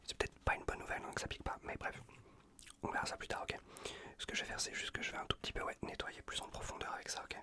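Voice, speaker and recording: A man with a French accent from France whispers a casual, reassuring ASMR roleplay as a caring survivor tending a wounded stranger, breathy and close to the microphone. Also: racing, sharp tone. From Bordeaux region, France. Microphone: close Blue Yeti microphone.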